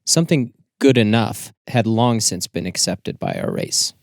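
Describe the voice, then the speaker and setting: A man's voice: fairly low pitch, huge pitch swings, a little slow, vocal fry, no echo. Dry-sounding male audiobook narrator. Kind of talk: scripted literary narration.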